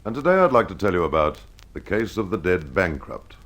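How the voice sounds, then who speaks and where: A man narrating a scripted old-time radio detective story as its host, in a measured, dramatic storytelling manner. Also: low-pitched, sing-song, very noisy.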